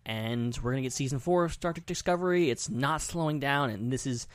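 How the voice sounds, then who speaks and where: A man's voice: medium pitch, brisk, clear, resonant tone, dry recording. Casual male podcast host, dry close sound.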